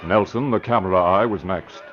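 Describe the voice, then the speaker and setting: A man's voice: low-pitched, fairly quick. A man narrating a scripted 1940s radio drama in formal, dramatic announcer style. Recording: muffled old radio broadcast.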